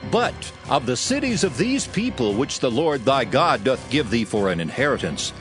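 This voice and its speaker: Casting: male scripture narrator, mid-pitched, grave and formal, richly varied intonation, soft music underneath. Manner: solemn, formal, measured.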